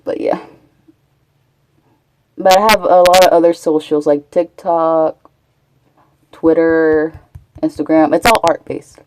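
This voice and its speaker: Chatty low-voiced woman streamer. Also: flat delivery, slow pace, long pauses, noisy background. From Texas. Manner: chatty, rambling, answers chat. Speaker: Twitch streamer. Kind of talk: casual live-stream chat.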